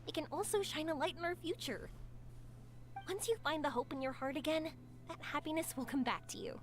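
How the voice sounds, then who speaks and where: A woman's voice: sits high, fairly quick, natural voice. A woman as a bubbly cheerful young woman. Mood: encouraging. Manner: bubbly, encouraging. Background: game music.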